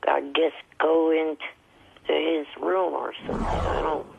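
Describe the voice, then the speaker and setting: Very creaky, irritable man on phone. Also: fairly high pitch, level tone, unhurried. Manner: irritable, curt, complaining. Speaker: show regular phoning in. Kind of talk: grudging casual phone conversation. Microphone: telephone. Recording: noisy thin telephone line.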